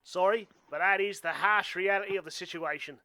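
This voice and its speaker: A man plays an earnest young doomsayer in a radio play, blunt and self-reliant. His voice is high and sharp, recorded very dry, with a clinical, matter-of-fact delivery. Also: fairly quick, no echo, exaggerated voice. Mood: blunt, grim. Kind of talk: amateur radio play acting.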